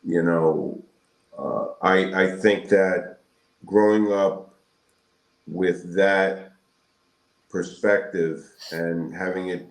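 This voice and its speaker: A man in his sixties from Brooklyn, answering interview questions in a measured, halting, reflective way with pauses between phrases. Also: low-pitched, fairly even intonation. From Brooklyn, New York, United States. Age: 60s. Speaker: guest, periodontal surgeon. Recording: roomy echoing sound.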